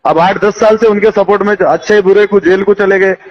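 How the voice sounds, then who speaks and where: A man's voice: high-pitched, fairly even intonation, fairly quick, a little hiss or hum. A man with a Hyderabadi accent arguing indignantly and insistently in a heated phone conversation, firing off rhetorical questions. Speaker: local party politician. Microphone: phone call. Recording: dull lo-fi recorded phone call.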